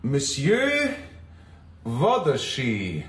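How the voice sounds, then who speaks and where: An actor playing a nervous, evasive pharmacist in a radio play, hesitant and stiffly courteous. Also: male voice, mid-range voice, huge pitch swings, very slow, reverberant, far from the mic, full character voice.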